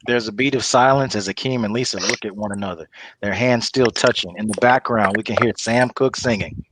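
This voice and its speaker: A man's voice: lowish voice, fairly quick. A man reading stage directions as the narrator of a comedy script table read. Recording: clearly noisy recording with clicks.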